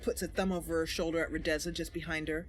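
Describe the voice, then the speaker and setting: A woman's voice: low voice, flat delivery, fast. A woman hosting a game roleplay, narrating in storytelling asides. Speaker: roleplay channel host and narrator.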